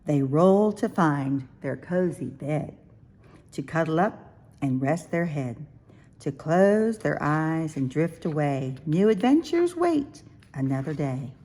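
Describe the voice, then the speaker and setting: A woman with a lowish, slightly husky voice reads a rhyming children's story aloud. Her delivery is sing-song and expressive, with lively swoops in pitch. She phrases each line deliberately, as if for young listeners. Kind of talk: rhyming picture-book read-aloud, expressive.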